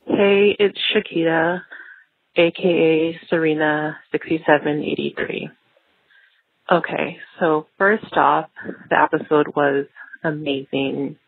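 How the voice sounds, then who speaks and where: A woman leaving a casual, reflective listener voicemail, pausing between phrases on a dull recording. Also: deep voice, fairly even intonation, husky, no echo.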